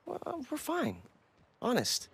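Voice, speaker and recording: A man's voice: very high voice, wildly swooping pitch, takes it slowly, heavily acted. An actor playing a cocky, eager boy adventurer in a video game, speaking casually. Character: cocky, excitable boy adventurer, young nephew.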